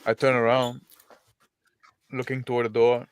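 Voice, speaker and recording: A man's voice: mid-range voice, monotone, moderate pace, clean tone. Hesitant man playing a tabletop game. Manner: hesitant, describes actions in first person. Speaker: player. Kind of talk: in-character roleplay.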